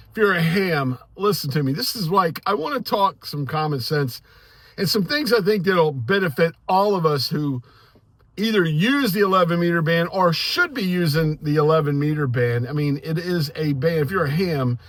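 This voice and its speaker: An older American man with a slightly high voice talks informally and earnestly, giving his opinions in plain words. He doubles back and repeats words as he goes. The sound is dry and close, with little room in it. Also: a bit bright, dry recording. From United States. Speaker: amateur radio hobbyist giving commentary. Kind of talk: informal opinion monologue to camera.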